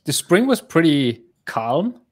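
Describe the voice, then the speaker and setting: A man in his thirties with a German accent, a native German speaker, joins a casual chat as a guest over a video call. He fills his sentences with "like" as he searches for the right words, relaxed and friendly in tone. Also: fairly high pitch, slow. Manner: lots of fillers like "like", searching for words. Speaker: guest software developer.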